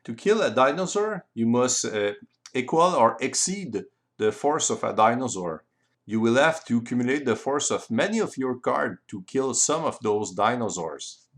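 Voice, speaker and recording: A man explaining board game rules step by step in simple, deliberate phrasing. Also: medium pitch, animated, fairly bright tone. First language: French. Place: voice-over recorded separately from the video.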